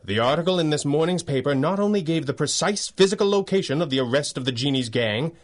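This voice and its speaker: An actor playing a resolute, cerebral masked superhero and gentlemanly leader in a 1930s-style radio drama, measured, dry and articulate. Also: male voice, fairly high pitch, lively intonation, natural voice. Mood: deductive.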